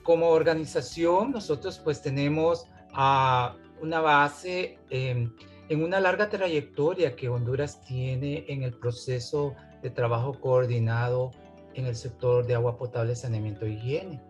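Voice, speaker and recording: A man, a movement coordinator, giving a steady, formal recorded statement with some fillers. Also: medium pitch, normal speed, soft background music.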